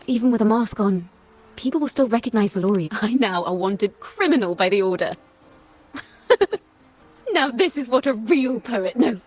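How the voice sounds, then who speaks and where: A woman voicing a playful, wry and poetic wandering bard in a video game, with a slightly breathy voice. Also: on the high side, expressive, measured pace, long pauses, a little mellow. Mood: playful, theatrical. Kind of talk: video game character acting. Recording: music behind the voice.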